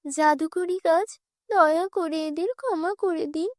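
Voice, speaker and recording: A woman's voice: extremely high pitch, measured pace, a little mellow, dry recording, studio quality. A woman voicing a kind, wronged girl in a cartoon fairy tale, earnest and pleading in manner. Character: kind, forgiving wronged girl, gentle stepdaughter.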